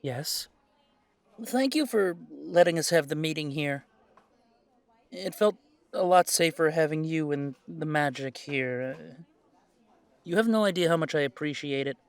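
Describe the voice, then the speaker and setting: Casting: male actor for spirited young werewolf, lightly high voice, measured pace, cocky, audio drama. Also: lively intonation, vocal fry, no echo. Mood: earnest.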